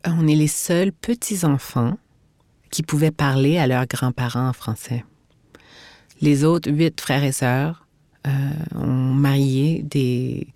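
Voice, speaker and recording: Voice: medium pitch, mellow, dry recording, studio quality. A speaker giving a reflective personal testimony, searching for words. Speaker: interviewee.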